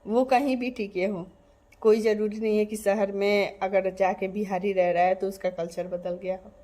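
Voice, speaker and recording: Woman vlogger from Bihar, earnest and emphatic monologue to camera, average pitch. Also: little pitch movement, moderate pace, mellow. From Bihar, India. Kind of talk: casual direct-to-camera monologue.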